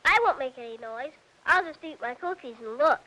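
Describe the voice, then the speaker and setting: A woman's voice: high-pitched, animated, a little fast, pushed and bright. A performer playing an earnest, eager little boy with an American accent, chattering in an artless, matter-of-fact way. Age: child. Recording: vintage soundtrack, noisy background.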